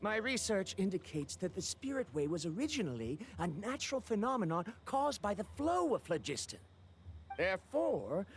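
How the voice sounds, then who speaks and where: A man's voice: sits high, slight background noise. An actor playing an eager, pedantic male scholar in a video game, with formal, precise wording. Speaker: scholarly researcher character.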